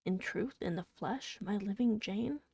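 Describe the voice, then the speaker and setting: A woman voicing a blind, tormented gentleman from a novel, imperious and desperately yearning in an impassioned delivery. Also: medium pitch, measured pace, vocal fry, husky, no room sound. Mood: yearning disbelief.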